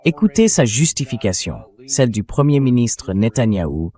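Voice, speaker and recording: A man's voice: mid-range voice, very animated, moderate pace, dark tone. A man's synthetic voice reading a translated voice-over as the host of a political discussion show.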